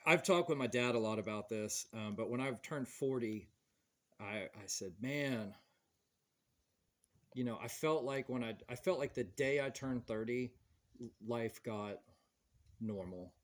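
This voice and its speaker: A man's voice: mid-range voice, a little slow, pauses often. A man in his forties hosting a podcast, chatting casually and telling stories in a rambling way. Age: 40s. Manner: rambling, storytelling with quoted voices. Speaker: podcast host. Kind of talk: casual chat.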